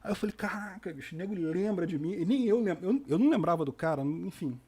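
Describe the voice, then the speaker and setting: A man's voice: high voice, animated, quick pace, darkish tone, husky. A Brazilian man in his fifties with a Rio de Janeiro accent, hosting a loose live stream and answering viewers. He talks casually in a slightly raised voice, full of slang, fillers and restarts. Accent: Rio de Janeiro Portuguese. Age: 50s. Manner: rambling with frequent restarts and fillers; informal, uses slang and mild swearing.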